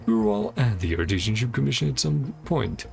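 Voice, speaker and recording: A man with a heavily creaky voice streams a game, reading the dialogue aloud. His pitch moves around a lot as he slips between reading and casual muttered commentary. Game music plays behind him. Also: wildly swooping pitch, fairly quick, darkish tone. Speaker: streamer playing and reacting to a game.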